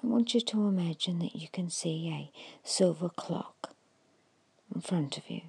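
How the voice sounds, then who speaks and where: A woman giving an ASMR guided hypnosis in a hushed, breathy whisper, slowly and soothingly instructing with long pauses. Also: lowish voice, expressive, no echo. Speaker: hypnotist guiding a meditation.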